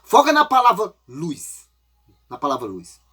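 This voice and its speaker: A man's voice: high voice, huge pitch swings, unhurried, a bit bright, breathy edge. A man preaching an informal, folksy sermon while congested with a cold, often tagging his phrases with a questioning aside.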